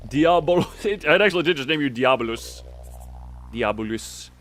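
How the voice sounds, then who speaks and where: A man giving casual live commentary on a game as he streams, thinking out loud and often breaking off mid-sentence. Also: a little high, animated, moderate pace.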